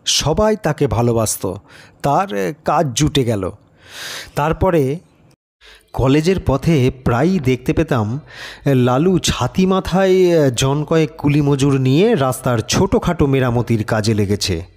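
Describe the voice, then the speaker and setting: A man narrating a scripted literary story as an audiobook, with expressive storytelling delivery. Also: medium pitch, measured pace, long pauses. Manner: expressive storytelling, acts out characters' lines.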